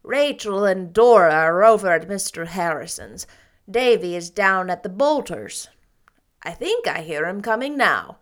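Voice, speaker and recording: A woman reading aloud and voicing a stern, reserved woman of sixty with a brisk, clipped, emphatic manner. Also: mid-range voice, expressive, natural voice. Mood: probing.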